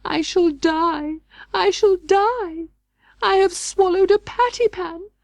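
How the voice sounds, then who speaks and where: A woman voicing an excitable, anxious female dog in a storybook reading, flustered and melodramatic. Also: very high-pitched, slow, creaky, no echo, pitched above the performer's own voice, full character voice. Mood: wailing, panicked. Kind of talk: audiobook storybook character voice.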